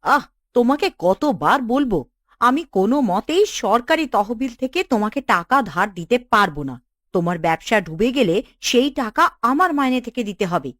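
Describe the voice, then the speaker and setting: A woman's voice: fairly high pitch, animated, moderate pace, no room sound, clean studio sound. A woman playing a bossy, scheming government official in a cartoon, with a commanding, dismissive way of talking. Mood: impatient, dismissive. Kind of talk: Bengali cartoon dialogue.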